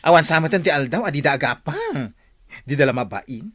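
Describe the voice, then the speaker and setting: A woman's voice: low-pitched, lively intonation, fast talker, dry recording, clean studio sound. Woman acting a swoopy, nosy village gossip. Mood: gossiping, disapproving. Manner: exclamatory, scandalised gossip. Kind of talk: Ilocano audio drama dialogue.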